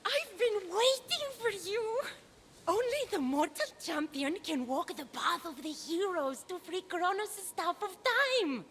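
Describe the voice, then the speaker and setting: A woman's voice: very high-pitched, very animated, a little slow, a bit bright, slight background noise, exaggerated voice. A woman voicing a small, angry, bossy child goddess in a video game, feisty and imperious, with music behind her. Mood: commanding. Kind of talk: animated video game voice acting.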